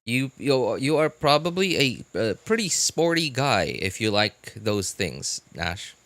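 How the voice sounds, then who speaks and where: A man casually chatting as a live-stream host, answering viewers in a halting way and repeating his phrases. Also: mid-range voice, no room sound. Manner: halting, repeats phrases, animated incredulous reactions.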